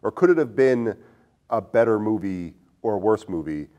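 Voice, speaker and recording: A man's voice: fairly low pitch, lively intonation, normal speed, mellow, highs cut off. A man hosting a podcast in a conversational, analytical way, posing questions as he discusses film. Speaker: podcast host.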